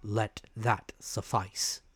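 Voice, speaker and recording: Man playing a stern, fearless gentleman doctor. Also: on the low side, little pitch movement, husky, dry recording, exaggerated voice. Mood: stern warning. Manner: formal, firm, measured, commanding.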